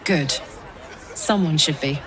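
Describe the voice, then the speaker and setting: A woman playing a plain-spoken, tough but warm-hearted warrior in a fantasy game. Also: low-pitched, takes it slowly, over music, a crowd in the background. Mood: wry, friendly.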